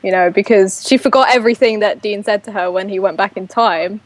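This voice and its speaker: A woman talking casually with friends on an online radio show. She hesitates often and fills gaps with "like" and "you know". Her voice sits at an ordinary middle pitch. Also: a little fast, no echo, poor audio. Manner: hesitant, filler-heavy. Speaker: podcast co-host. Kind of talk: casual fan discussion.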